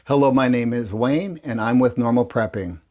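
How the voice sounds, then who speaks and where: A man's voice: mid-range voice, moderate pace, darkish tone. A man with a mid-range voice talks casually to his viewers as a video host. He explains things in plain, folksy language. He tends to restate his point for emphasis. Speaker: host of a prepping channel, ham radio operator.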